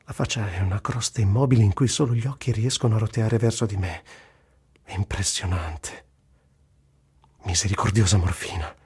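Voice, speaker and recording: An actor playing an Italian journalist volunteering in a war, narrating in the first person in a radio reading, earthy, tender and wry, with literary delivery and blunt asides. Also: male voice, fairly low pitch, moderate pace, darkish tone, slightly rough. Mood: anguished, hushed. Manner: dramatic literary recitation with blunt colloquial asides.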